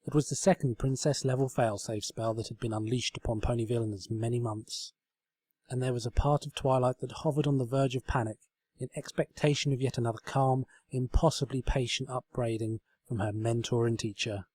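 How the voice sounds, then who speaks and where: A man's voice: medium pitch, fairly even intonation, brisk, a little mellow, slightly rough. A man reading a story aloud as narrator, in a scripted storybook style. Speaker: fan fiction reader, narrator.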